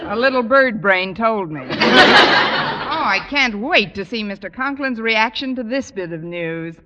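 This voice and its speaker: A woman playing a wisecracking, scheming high school teacher, dry and crisp, in an old-time radio comedy. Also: lively intonation, a little slow, muffled recording, a loud crowd. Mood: sardonic. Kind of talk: 1940s-50s radio comedy acting. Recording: old radio broadcast, hiss, studio audience.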